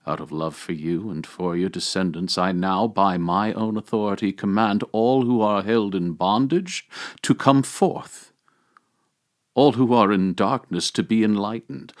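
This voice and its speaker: A majestic male divine figure, acted by a man reading aloud, commanding and tender in a sacred dramatic reading. The voice is lowish and very slow. It declaims with solemn emphasis, rising and falling widely. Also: a bit bright, heavily acted. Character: Christ risen among the dead, majestic, commanding, tender.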